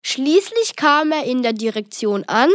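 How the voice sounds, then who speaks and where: Kid narrator reading a children's story script, high voice, close dry recording. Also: big pitch swings, measured pace, fairly bright tone, dry recording.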